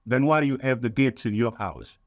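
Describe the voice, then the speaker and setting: A man from Sudan, giving deliberate, emphatic interview answers in nonstandard English. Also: mid-range voice, lively intonation, no echo, dull audio. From South Sudan. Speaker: guest, activist.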